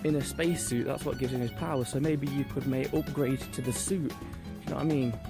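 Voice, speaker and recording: A man in his thirties with an average-pitched voice thinks aloud and circles back over his own phrases. He talks into a handheld camera on a residential street. Music is clearly heard behind him. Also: steady tone, fast talker. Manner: repeating and correcting himself as he thinks aloud. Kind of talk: casual chat. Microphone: phone or camera held at arm's length.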